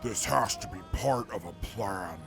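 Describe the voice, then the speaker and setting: An actor playing a wary male soldier who reasons things through in a slow, gravelly voice. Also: lowish voice, over music. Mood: suspicious. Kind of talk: fan audio drama acting.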